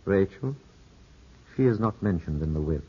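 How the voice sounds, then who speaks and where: An actor playing a courteous, evasive Italian lawyer in a formal, measured 1950s radio drama style. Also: male voice, low voice, expressive, husky. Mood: smooth, reassuring. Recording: muffled, scratchy 1950s radio broadcast.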